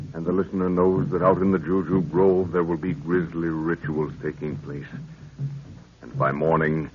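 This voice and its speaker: A man narrating a 1930s radio adventure serial as a solemn, dramatic storyteller, in measured phrases, on an old radio recording. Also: low-pitched, muffled recording. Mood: ominous. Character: solemn storyteller of an African jungle mystery serial. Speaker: announcer and narrator.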